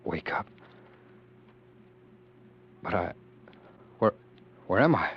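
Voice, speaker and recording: An actor in a vintage radio drama, playing a haunted man who once ruled the world, who narrates his dream with earnest, halting intensity. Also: low voice, sing-song, slight background noise, full character voice. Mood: tender. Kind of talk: 1940s radio acting. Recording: dull old radio broadcast.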